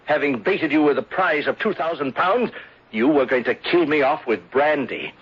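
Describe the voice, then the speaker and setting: Vengeful, husky accuser in radio drama. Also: male voice, fairly high pitch. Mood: accusing. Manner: theatrical, deliberate accusatory speeches. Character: vengeful bereaved man unmasking his beloved's murderer, accusing and bitter. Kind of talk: old-time radio drama acting. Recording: dull lo-fi vintage radio recording.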